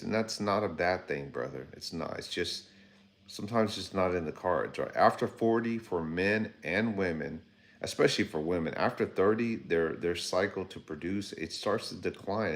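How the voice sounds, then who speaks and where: A man in his fifties hosting a casual livestream, rambling conversationally and talking to viewers by name. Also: deep voice. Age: 50s. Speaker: livestream host. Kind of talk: casual livestream chat reading viewer comments.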